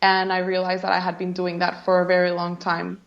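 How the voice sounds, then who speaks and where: A woman's voice: fairly low pitch, monotone, brisk, gravelly, dull audio. Reflective woman with a Colombian accent. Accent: Colombian Spanish. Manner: reflective, uses many "like" fillers, laughs softly. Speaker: guest, creativity coach. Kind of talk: casual interview.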